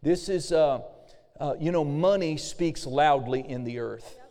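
Slightly hoarse man preaching in a big hall. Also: a little high, a little slow, dark tone. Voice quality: a little raspy. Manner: fervent preaching with frequent amens. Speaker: pastor. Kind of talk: sermon. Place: church sanctuary. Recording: long hall reverb.

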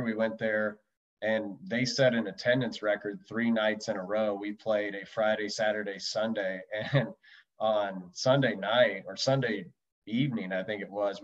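A man reminiscing casually as an interview guest in a conversational way. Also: lowish voice. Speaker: interview guest, former relief pitcher. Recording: dull lo-fi audio, distant from mic.

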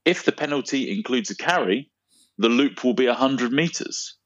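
A man's voice: mid-range voice, normal speed, no echo. A man co-hosting a podcast in casual chat, laughing often and reading out race news. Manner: laughs often, relaxed and chatty. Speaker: podcast co-host. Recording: dull lo-fi, dry sound.

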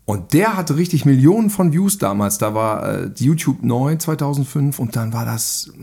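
A man's voice: medium pitch, animated, normal speed, warm tone, slightly rough. A man chatting casually as a podcast guest, telling rambling anecdotes with little tag questions. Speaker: guest, film director and cameraman.

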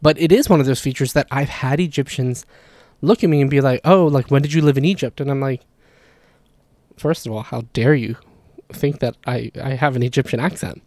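Guy talking through grammar stuff, chatty, keeps apologizing for himself, mid-pitch, dry close mic. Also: fairly quick, a little mellow, dry recording. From Levantine, Palestinian or Jordanian Arabic background. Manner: chatty, self-deprecating, apologetic asides. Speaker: language educator. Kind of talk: casual explanatory monologue.

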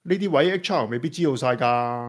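A man's voice: medium pitch, a little fast, natural voice. A man acting an earnest office employee in a radio drama, with a Hong Kong Cantonese accent. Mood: earnest, persuading. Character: earnest office employee, Stephen, pleading for more staff. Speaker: employee pressing his boss.

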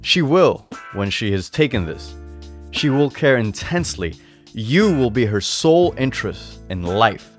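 A man's voice: mid-range voice, sing-song, measured pace, faint music behind, pitched above the performer's own voice. A man voicing a sly old shopkeeper in a storybook tale, patient, persuasive and emphatic, savoring his words. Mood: coaxing, persuasive. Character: sly old male shopkeeper selling potions, patient and coaxing. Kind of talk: storybook character voice in a read-aloud tale.

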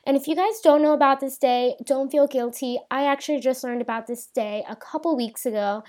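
A woman's voice: sits high, fairly quick, a little mellow. A young adult woman co-hosting a podcast, introducing the next topic in an upbeat way. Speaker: podcast co-host.

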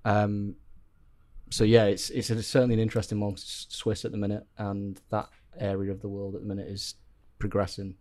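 A man's voice: fairly low pitch, monotone, brisk, a little mellow. A man co-hosting a podcast, talking in a rambling, hesitant way with lots of ums. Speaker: podcast co-host. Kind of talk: casual group chat.

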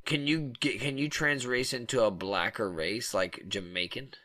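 A man's voice: medium pitch, fairly even intonation, moderate pace, bright, forceful tone, no echo. A man co-hosting a comedy podcast, trading crude, joking banter and riffing on absurd ideas. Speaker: podcast co-host.

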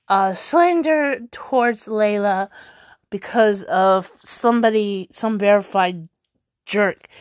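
Halting, chatty woman podcast host. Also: medium pitch, no room sound, studio quality. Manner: halting, filler-heavy, chatty. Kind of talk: casual chat reading news and tweets.

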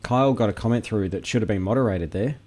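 A man hosting a casual chat, chiming in quickly and reading out viewer questions. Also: lowish voice, heavy background noise. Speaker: host.

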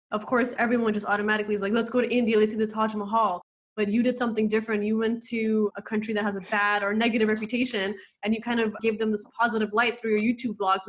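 An American woman co-hosting an interview, talking casually and eagerly asking the guest questions. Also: on the high side. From United States. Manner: eager, talkative, asks questions. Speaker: co-host.

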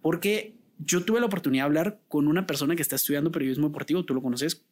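Guatemalan male interviewer, slightly high voice, long winding questions. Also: rapid-fire. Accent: Guatemalan Spanish. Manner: long, rambling questions, with more emphasis when making a point. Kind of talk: casual interview conversation.

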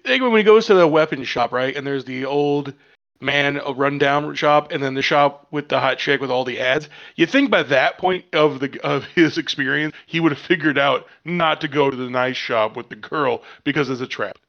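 A man's voice: a little high, normal speed, a bit bright. Wry man on a dull lo-fi mic. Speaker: podcast co-host. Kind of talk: casual podcast chat.

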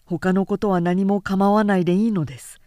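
A woman voicing a serious, polite samurai father in a measured, solemn storybook reading. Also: medium pitch, steady tone, warm tone, dry recording, clean studio sound, lightly acted. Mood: pleading. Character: anxious, polite samurai father, grave and brooding.

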